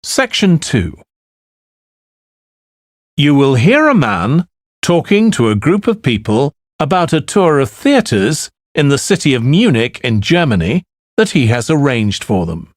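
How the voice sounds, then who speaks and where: A man reading scripted test instructions as an exam announcer, formal and deliberate. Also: mid-range voice, big pitch swings, dry recording, studio quality.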